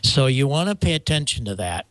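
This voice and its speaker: An older American man talking casually as a show host about gear, rambling and halting with stammered restarts. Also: mid-range voice, sing-song, a bit bright, no room sound, rough recording. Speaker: show host and microphone maker. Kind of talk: casual show talk, explaining audio gear.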